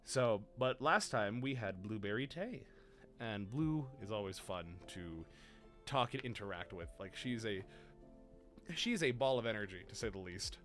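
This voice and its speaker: A man's voice: expressive, measured pace, a bit bright, soft background music. Tired young man rambling on stream. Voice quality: deeper voice than usual today. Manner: rambling, playful, self-mocking. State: tired and exhausted. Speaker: stream host. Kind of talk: casual livestream chat.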